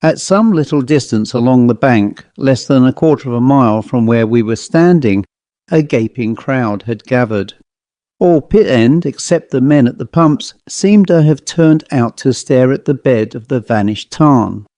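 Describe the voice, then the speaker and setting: A man narrating a Victorian ghost story as a scripted audiobook, in a measured, dramatic storytelling manner. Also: mid-range voice, lively intonation, mellow, dry recording.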